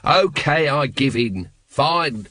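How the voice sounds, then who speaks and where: A man acts a middle-aged watch captain in a comic storybook. He is sardonic and curt, with a mid-pitched voice that swings sharply in exasperation. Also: lively intonation, slow pace, bright, forceful tone. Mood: exasperated, sarcastic. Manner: curt, snapping, weary sarcasm.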